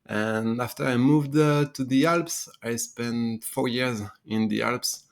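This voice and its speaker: A young man with a French accent, a guest in a casual interview, pausing to search for words in a second language. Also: mid-range voice, vocal fry. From France. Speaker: guest adventurer.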